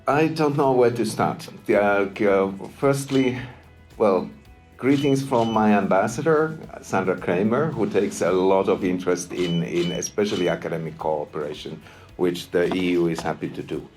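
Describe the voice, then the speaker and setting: A deep-voiced man with a Finnish accent gives a formal speech, pausing often and repeating words as he searches for them. Faint music plays behind him. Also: darkish tone. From Finland. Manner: halting, many ums and repeated words. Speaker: EU delegation official giving a speech. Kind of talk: hesitant formal launch speech.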